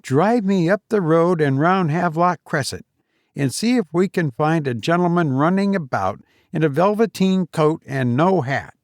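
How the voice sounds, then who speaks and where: A man's voice: fairly high pitch, lively intonation, moderate pace, dry recording, clean studio sound, pitched above the performer's own voice, lightly acted. A man voicing a proper, dutiful, fretful wife in a story. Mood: urgent.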